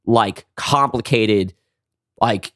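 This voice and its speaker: A man's voice: fairly low pitch, a bit bright, no room sound, studio quality. A man co-hosting a podcast, talking casually about writing and often restarting as he works out his thoughts. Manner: halting, repeats words as he works out thoughts. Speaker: podcast co-host and writer. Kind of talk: casual behind-the-scenes podcast chat.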